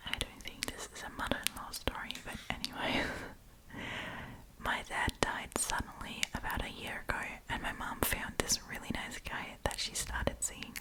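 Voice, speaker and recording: A woman's voice: a little slow, low-quality recording. Female ASMR host, whispered throughout, informal storytime reading and commentary, close-miked. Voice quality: breathy unvoiced whisper. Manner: chatty, informal asides. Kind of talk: whispered ASMR, reading online stories aloud with casual commentary. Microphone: close propped-up microphone.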